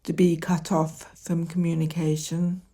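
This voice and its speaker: A woman speaking reflectively and haltingly in a documentary, with deliberate pauses. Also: lowish voice, flat delivery, mellow. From England. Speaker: deaf poet. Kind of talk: reflective spoken documentary, with read poetic lists.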